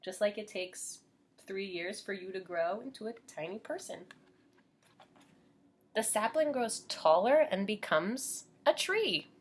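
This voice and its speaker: An American woman reads a children's book in the manner of a preschool teacher. Her voice is a little husky and moves expressively up and down in pitch. She takes her time, explaining things simply and asking questions of young listeners. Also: animated, measured pace. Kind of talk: children's read-aloud with teaching asides.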